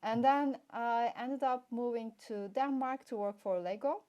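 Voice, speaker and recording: A woman's voice: a little high, unhurried, a little mellow. A middle-aged woman from Turkey, a guest play expert, chatting casually and telling her story with many fillers. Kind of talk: casual interview chat.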